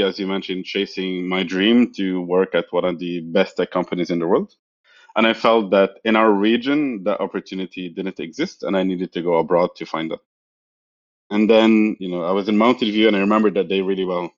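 A man's voice: deep voice, highs cut off. A man in his thirties from Egypt, a guest answering interview questions reflectively. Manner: reflective, with many fillers and restarts.

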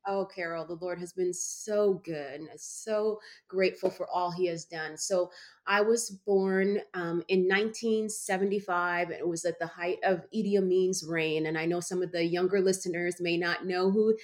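A woman in her forties from Uganda, a guest author telling her story in a conversational interview, bubbly when excited and earnest about her faith. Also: on the low side, moderate pace. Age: 40s.

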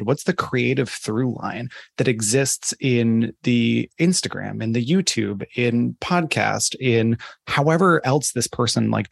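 A man's voice: medium pitch, gravelly, slightly rough, no room sound, studio quality. A man speaking conversationally as an interviewed marketing expert, thinking aloud with emphasis. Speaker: podcast advertising expert being interviewed.

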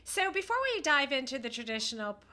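Bright-voiced, nasal woman with a cold. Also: high-pitched, lively intonation. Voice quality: a little nasal. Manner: chatty, with many fillers and pauses. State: stuffed up with a head cold. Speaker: podcast co-host. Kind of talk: casual podcast chat.

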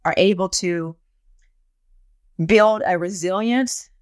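A woman's voice: mid-range voice, takes it slowly, smooth, no echo, clean studio sound. A woman hosting an interview podcast in a conversational style.

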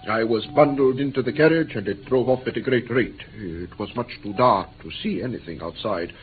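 An actor playing an anxious interpreter who recounts an ordeal in earnest, precise, formal phrases in a radio drama. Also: male voice, medium pitch, normal speed, natural voice. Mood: earnest recounting. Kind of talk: radio drama acting, animated storytelling. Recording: tinny old radio recording, background hiss.

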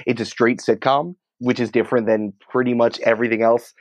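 A man's voice: mid-range voice, steady tone, fairly quick, no echo. A man speaking as a guest in a casual interview, explaining things in an informal, colloquial way. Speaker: podcast company creative head, interview guest.

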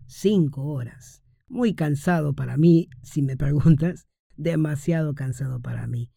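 A woman's voice: sits low, measured pace, creaky, warm tone, no echo, clean studio sound. A woman teaching Spanish to learners, speaking patiently and talking directly to the listener. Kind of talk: slow, clear Spanish lesson for learners.

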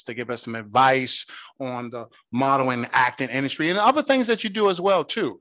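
A man's voice: mid-range voice, a bit bright. A man hosting a podcast, interviewing a guest over the phone in an upbeat, encouraging way. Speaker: podcast host.

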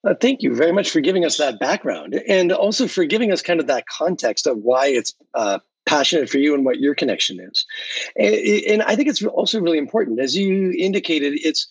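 Husky-voiced male podcast co-host. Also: fairly high pitch, dry recording, poor audio. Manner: chatty, appreciative, rambling. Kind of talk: conversational interview.

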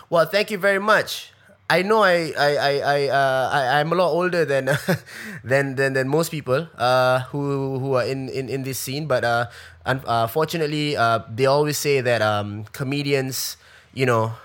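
A man's voice: medium pitch, a bit bright. A comedian in his thirties with a Singaporean English accent, chatting casually through a live-stream Q&A, rambling with lots of fillers, restarts and swearing. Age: 30s.

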